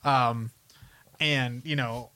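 A man's voice: mid-range voice, fairly even intonation, extremely slow, pushed and bright, clear, resonant tone, rough recording. A man co-hosting a podcast, chatting casually and informally in a rambling way about films and games. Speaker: podcast co-host.

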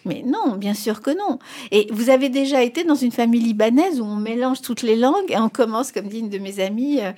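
A woman's voice: a little high, expressive, a little fast, a little mellow. Emphatic woman, a native Spanish speaker. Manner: emphatic, rising sharply on key points. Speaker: expert guest. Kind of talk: radio interview answers.

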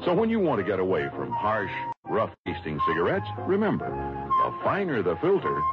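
A man's voice: fairly low pitch, wildly swooping pitch, normal speed, over loud music. A man reading a scripted 1960s radio commercial as an announcer, with an emphatic sales delivery. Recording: muffled old radio broadcast.

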